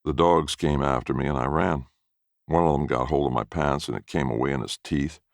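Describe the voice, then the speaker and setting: A man's voice: extremely low pitch, fairly quick, creaky, no room sound, clean studio sound. A man reading a first-person story as narrator in a folksy storytelling manner.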